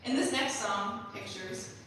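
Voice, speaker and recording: A woman giving a measured, explanatory scripted introduction to songs at a staged reading of a musical. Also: mid-range voice, fairly bright tone, a little hiss or hum. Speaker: presenter of her own musical. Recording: very echoey hall, distant, faint music.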